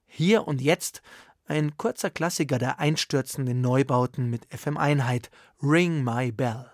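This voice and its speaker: A man presenting a radio arts magazine from the studio with a smooth announcer delivery. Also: mid-range voice, measured pace, dry recording. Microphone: studio microphone.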